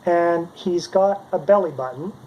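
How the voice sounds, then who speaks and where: A man's voice: high-pitched, unhurried, lo-fi sound, a little hiss or hum. A middle-aged man casually narrating a demonstration as a hobbyist maker. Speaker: maker presenting his homemade robot.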